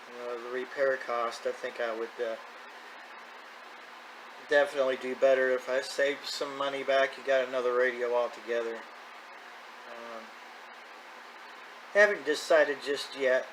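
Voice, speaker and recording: A man in his fifties talking casually and off the cuff as a radio hobbyist, plain-spoken and folksy. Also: mid-range voice. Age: 50s. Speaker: radio hobbyist video host. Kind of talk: casual rambling vlog talk. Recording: clear background noise.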